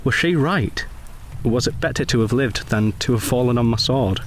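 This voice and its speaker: A man playing a brooding, principled young Edwardian gentleman soldier in a radio drama, speaking and narrating in a formal, intense, literary way. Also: mid-range voice, moderate pace, slight background noise, lightly acted. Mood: angry, brooding.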